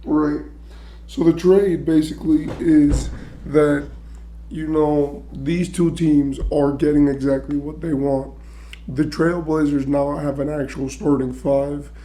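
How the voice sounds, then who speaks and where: A man whose voice is worn and hoarse, clearing his throat as he goes. His tone is very dark and muffled and stays mostly flat, though it sits a little high for a man. He talks casually, thinking out loud with lots of filler checks. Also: slow pace, a little hiss or hum. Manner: chatty, thinking aloud, many "right?" and "you know". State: losing his voice. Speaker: sports commentary YouTuber. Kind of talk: casual basketball trade commentary.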